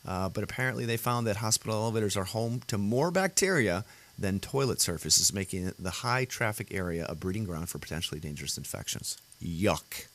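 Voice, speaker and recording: A man with a very deep, gravelly voice, worn rough today, hosts his show in loose solo chat. He rambles along with frequent fillers and restarts, speaking into a computer audio setup. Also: animated, fairly quick, a bit bright. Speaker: podcast host.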